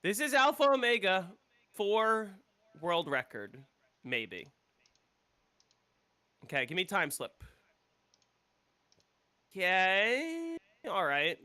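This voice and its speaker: Streamer, male, casual and opinionated, swears freely, higher voice, sounds a bit congested. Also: huge pitch swings, slow, pauses often, pushed and bright, smooth. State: congested, coming down with a cold. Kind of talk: casual gameplay chat.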